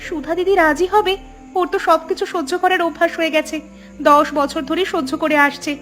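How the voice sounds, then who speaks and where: A woman's voice: extremely high pitch, fairly even intonation, fairly quick, a bit bright, soft background music. A woman voicing a timid, anxious younger sister-in-law in a village cartoon, fretful and full of questions. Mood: worried doubt. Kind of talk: cartoon voice acting.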